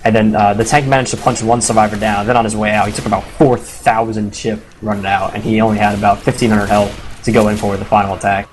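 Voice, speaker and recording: A hoarse man doing casual live play-by-play commentary on a competitive video game match, excitable and quick to swear. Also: fairly low pitch, a little hiss or hum. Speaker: esports commentator.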